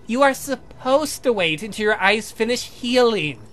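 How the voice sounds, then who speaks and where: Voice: medium pitch, expressive, normal speed, a bit bright, close to an everyday voice. A performer voicing a patient young male scientist in a visual novel, explaining things in a formal, precise, pedantic way.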